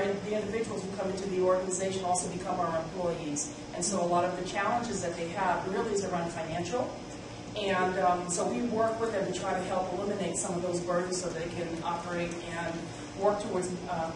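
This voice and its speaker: A woman with a big voice presenting at a conference in a measured, explanatory way. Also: on the low side, fairly even intonation, background noise. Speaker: guest presenter. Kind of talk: conference talk. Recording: echoey room, mic from far off.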